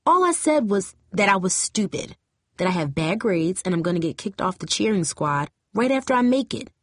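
A woman's voice: mid-range voice, animated, fairly quick, no room sound, clean studio sound, voice pushed up. A woman voicing a bold, defiant teenage cheerleader in a story, outspoken and challenging. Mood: hurt, defiant.

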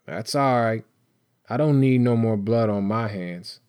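A man voicing a wiry, sickly, menacing older gun dealer in an audiobook, rough and raspy, with blunt street slang. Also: lowish voice, measured pace, a little mellow, no echo, close to an everyday voice. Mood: weary, knowing.

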